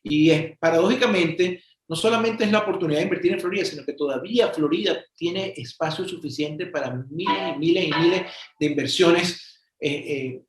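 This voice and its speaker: A man's voice: on the high side, fairly quick, roomy sound. A man from Venezuela co-presenting a webinar, explaining in an informative way and adding figures and asides.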